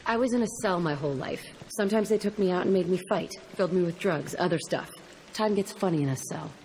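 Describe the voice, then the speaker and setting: An actress playing an embittered, tough young ex-prisoner in a video game, blunt, terse and profane. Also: female voice, fairly low pitch, quick pace, darkish tone, slight background noise, natural voice, rough recording. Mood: bitter, defensive. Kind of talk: video game dialogue acting.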